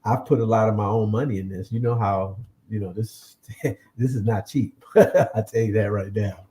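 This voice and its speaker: A man's voice: lowish voice, fairly even intonation, normal speed, warm tone. A man chatting casually as an interview guest, a creator who often repeats his words. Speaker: guest, comic and film creator.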